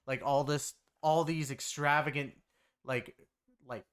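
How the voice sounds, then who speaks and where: A man's voice: fairly high pitch, steady tone, takes it slowly, a bit bright, smooth. A man giving a casual, rambling game story review in a conversational way, leaning on filler phrases. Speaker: game reviewer and content creator.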